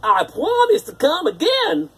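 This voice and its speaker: A storyteller playing a gallant, earnest young prince with declamatory, drawn-out delivery in a fairytale. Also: sits high, huge pitch swings, creaky, pitched above the performer's own voice, heavily acted. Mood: smitten.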